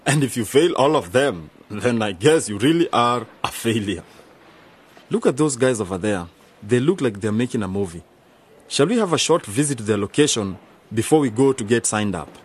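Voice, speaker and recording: An actor playing an eager, enterprising young man in a radio drama, excitable and persuasive. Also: mid-range voice, husky.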